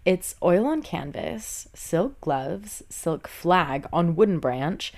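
Woman interviewer, slightly low voice. Conversational, curious, responsive delivery. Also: expressive, slow pace, darkish tone.

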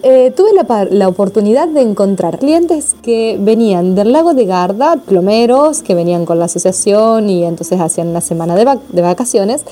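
A woman's voice: mid-range voice, lively intonation, normal speed, hardly any pauses, warm tone, a little hiss or hum. A woman from Argentina with an Argentine accent, a massage therapist telling reflective personal stories to her viewers. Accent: Argentine Spanish. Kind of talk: personal storytelling vlog.

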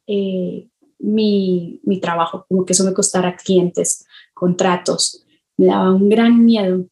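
Thoughtful woman with a mellow voice. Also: medium pitch. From Colombia. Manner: thoughtful, halting, searching for words. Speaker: illustrator, podcast guest. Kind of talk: reflective conversational interview.

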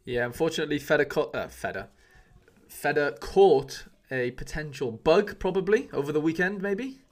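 A man's voice: fairly high pitch, expressive, brisk. Chatty man hosting a podcast. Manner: friendly banter, calls co-host brother and lads. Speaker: podcast host. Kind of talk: casual chat.

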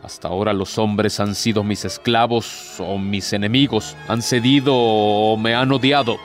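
An actor playing a proud, tormented, bitter devil in a radio drama, speaking in a solemn, theatrical, declamatory way. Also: male voice, on the low side, fairly even intonation, unhurried, faint music behind, lightly acted.

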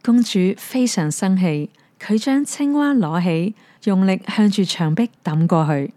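A woman with a Hong Kong Cantonese accent gently narrating a fairy tale. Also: medium pitch, unhurried, no room sound. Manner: gentle, even storytelling. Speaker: storyteller. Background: soft piano music.

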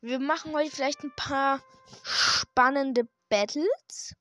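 A hoarse woman hosting a gaming channel, chatting casually and hesitantly while she plays, with lots of fillers and restarts. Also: high-pitched, takes it slowly, pushed and bright. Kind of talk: casual gameplay commentary. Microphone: desk microphone.

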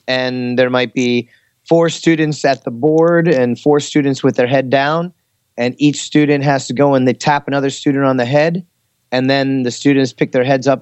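A man chatting casually and giving teaching advice, explaining things in a hesitant, halting way. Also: mid-range voice, a little mellow, clean tone, no echo. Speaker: English teacher in Korea, talk show speaker. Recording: slightly dull, lo-fi audio.

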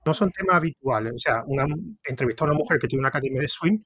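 Un hombre de Córdoba con acento andaluz, anfitrión de un podcast, que entrevista en tono de charla y divaga con muchas dudas y reinicios. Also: male voice, medium pitch, expressive, very fast, fairly bright tone, breathy edge, no room sound, studio quality. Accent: Andalusian Spanish. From Córdoba, Spain. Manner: rambling, hesitant, restarts thoughts. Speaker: podcast host interviewer. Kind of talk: casual interview conversation.

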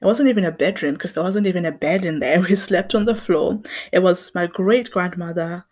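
A woman's voice: lowish voice, fairly quick, darkish tone. A young adult woman from Zimbabwe hosting her own podcast, talking in an earnest, reflective way. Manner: earnest and reflective, with small laughs. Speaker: podcast host. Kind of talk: personal commentary monologue.

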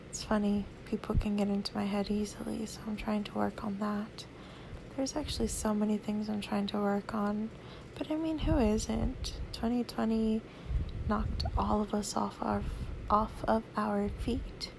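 Young woman doing a chill solo podcast, slow soothing voice, kinda fry-ish, noisy home mic. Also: medium pitch. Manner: soft-spoken, rambling, laughs between thoughts. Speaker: podcast host. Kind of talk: casual solo podcast chat.

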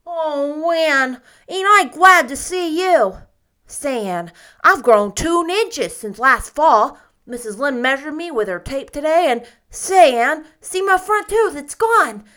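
Casting: boisterous small boy, loud and eager, voiced by a woman reader. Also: sits high, lively intonation, takes it slowly, pitched above the performer's own voice, full character voice. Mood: excited.

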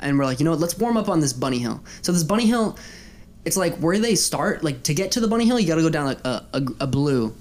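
A young man from Colorado telling a teasing story in casual chat with a friend, laughing often. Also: mid-range voice. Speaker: podcast co-host.

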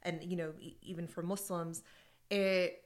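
A woman co-hosting a podcast, talking in a casual, animated way and laughing easily while she tells stories. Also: lowish voice, unhurried. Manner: animated storytelling with frequent laughs. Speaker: podcast co-host. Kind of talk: casual conversation about workplace groups.

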